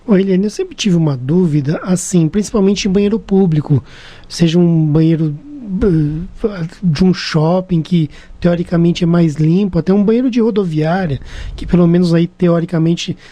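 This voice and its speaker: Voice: medium pitch, moderate pace, dark tone. A Brazilian radio host asking a guest questions in a live radio interview. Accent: Brazilian Portuguese. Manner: conversational, asks questions.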